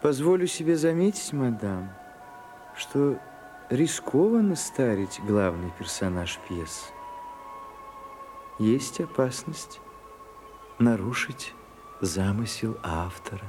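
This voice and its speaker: An actor playing a dry, long-suffering and dutiful secretary, formal and pedantic, in a radio play. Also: male voice, medium pitch, lively intonation, takes it slowly, long pauses, a little mellow, soft background music. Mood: cautiously objecting. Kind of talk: theatrical radio drama acting.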